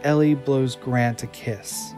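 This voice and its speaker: A man's voice: medium pitch, fairly even intonation, slow, darkish tone, faint music behind. Man, scripted drama narration, mid-range voice, strong musical backing.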